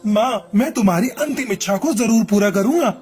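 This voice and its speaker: A woman's voice: fairly high pitch, expressive, brisk, a little mellow, soft background music, close to an everyday voice. A woman voicing a young male pigeon in a cartoon, a dutiful husband and son with a plain, decisive manner.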